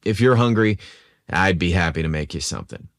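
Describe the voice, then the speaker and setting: Man as young male diner cook, casual, friendly, confident, lively pitch, dry sound. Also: brisk, no echo. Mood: warm, inviting. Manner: firm but friendly, casual. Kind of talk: audio story character dialogue.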